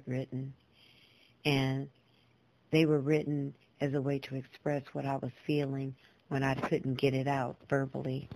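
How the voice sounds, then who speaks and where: Mellow-voiced woman telling her story earnestly. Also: rumbling low, low-quality recording. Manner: earnest, deliberate, emphatic with repeated phrases; clear, proper diction. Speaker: guest storyteller, poet and singer. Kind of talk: reflective personal storytelling.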